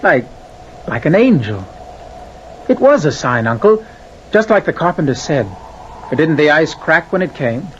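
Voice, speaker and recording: An actor playing an innocent young sailor nephew, earnest and wide-eyed, in old-time radio drama style. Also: male voice, fairly high pitch, big pitch swings, moderate pace, pauses often. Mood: wonder, eager. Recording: muffled, faintly noisy vintage radio recording.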